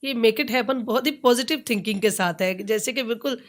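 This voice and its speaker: A woman's voice: fairly high pitch, normal speed. A woman with a Pakistani accent interviewing as a podcast host, attentive and conversational, with brief acknowledgements.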